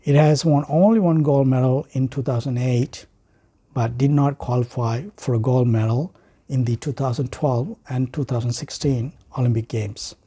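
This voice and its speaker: A Sri Lankan man reads out a prepared speech in a very dark, soft-edged voice. His tone is husky and mid-pitched. He speaks formally and deliberately, setting off phrases with pauses for emphasis. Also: steady tone. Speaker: writer and speaker.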